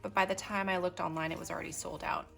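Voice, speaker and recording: A woman chatting casually as she shows her desk items, unhurried and full of ums and little asides while she works. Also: on the low side, little pitch movement, gravelly, fairly bright tone, soft background music. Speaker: stationery vlogger. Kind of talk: casual show-and-tell narration.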